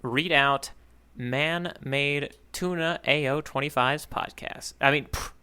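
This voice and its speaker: A man's voice: a little high, steady tone, slow, bright, forceful tone, clear, resonant tone. A man co-hosting a casual podcast, talking in a playful way with rambling asides. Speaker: podcast co-host. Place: remote online podcast recording.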